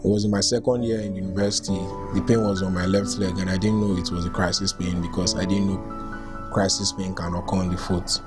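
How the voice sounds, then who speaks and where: A man's voice: deep voice, flat delivery, fairly quick. A man with a Nigerian accent, reading personal accounts aloud and reacting to them casually. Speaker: volunteer reader reacting to written accounts. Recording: music bed under the voice. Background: music.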